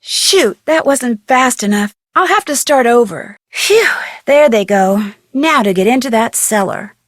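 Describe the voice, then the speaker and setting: A young American woman playing an inquisitive, plucky amateur detective in an adventure game, speaking earnestly and expressively with clear enunciation. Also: fairly high pitch, lively intonation, a little slow, no echo, studio quality. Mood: determined, musing. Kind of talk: scripted adventure game voice acting.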